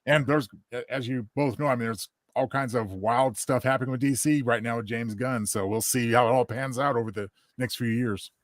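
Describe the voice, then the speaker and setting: A man's voice: medium pitch, steady tone, brisk, few pauses, no echo. Wordy man in close, dry podcast chat. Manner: wordy, mock-formal phrasing. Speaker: podcast host. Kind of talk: casual chat.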